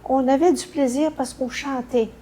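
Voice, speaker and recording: An older woman with a Quebec French accent recounting memories in a halting way, searching for her words. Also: sits high, fairly even intonation, mellow. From Canada. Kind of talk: unscripted personal recollection.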